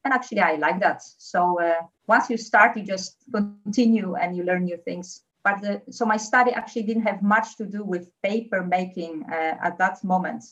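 A woman's voice: mid-range voice, normal speed, few pauses, fairly bright tone, muffled recording. A young woman from Poland with a Polish accent, speaking as a guest on a podcast interview, hesitant with many fillers. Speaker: engineer guest.

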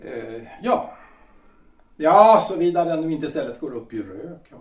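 A man's voice: a little high, very animated, distant mic. A man playing Gustav, a hesitant lawyer and politician in his forties, who halts and hedges with many fillers. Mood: uneasy. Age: 40s. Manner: hesitant, halting, full of fillers. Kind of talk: audio drama acting. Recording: echoey room, voice off-mic.

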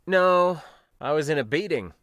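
Man playing an earnest, higher-pitched amazed cargo worker. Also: expressive, moderate pace, a bit bright, dry recording, clean studio sound. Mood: pained, rueful. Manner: earnest, surprised, questioning. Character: short round middle-aged male cargo worker, earnest, easily amazed.

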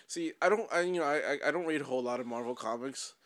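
A man's voice: medium pitch, fast, fairly bright tone. A man chatting casually on a podcast, enthusiastic and swearing a lot. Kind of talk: casual chat.

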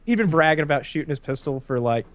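A man's voice: a little high, lively intonation, fast talker, a loud crowd. A man in his thirties in casual chat, rambling with frequent restarts and swearing. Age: 30s.

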